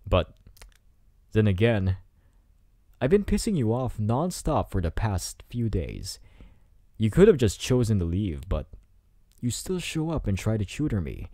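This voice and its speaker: A man's voice: fairly low pitch, wildly swooping pitch, measured pace, long pauses, mellow, no room sound, studio quality, full character voice. A man playing a cocky, teasing teenage delinquent student in an ASMR roleplay, with a smug, taunting delivery. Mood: earnest, probing.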